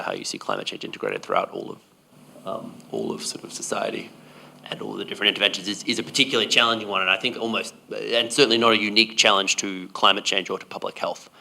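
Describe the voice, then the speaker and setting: A man's voice: on the low side, vocal fry, pushed and bright. A man speaking on a conference panel, answering at length in a discursive, hedging way.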